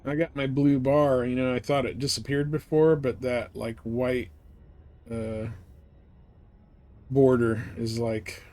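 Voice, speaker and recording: A man's voice: mid-range voice, flat delivery, takes it slowly, long pauses, a little mellow. A man chatting casually as he live streams a game. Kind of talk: casual gaming stream commentary.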